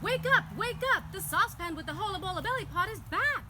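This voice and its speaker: A woman voicing an eager, excitable magic saucepan in a puppet show, loudly calling out in a bouncy, exclamatory way. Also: very high voice, brisk, heavy background noise, voice pushed up. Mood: excited. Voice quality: loud, calling out. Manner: exclamatory, bouncy, sing-out delivery.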